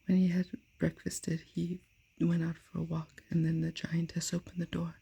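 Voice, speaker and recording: A woman softly whispering a fairy tale aloud, as in an ASMR reading. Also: on the low side, monotone, moderate pace. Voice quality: breathy. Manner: soft unvoiced whisper. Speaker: storyteller.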